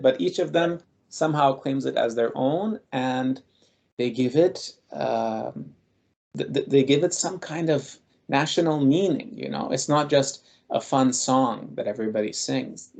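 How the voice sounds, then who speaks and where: A man speaking as a guest scholar in an interview, explaining at length in a measured, thoughtful, lecturing way. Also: medium pitch, vocal fry, mellow.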